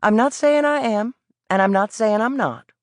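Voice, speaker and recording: A woman's voice: on the high side, normal speed, no echo, voice pushed up, natural voice. A woman voicing a scrappy, defiant young boy with a thick American Southern drawl, proud and prickly, in a dry studio read. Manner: proud and prickly, formal words.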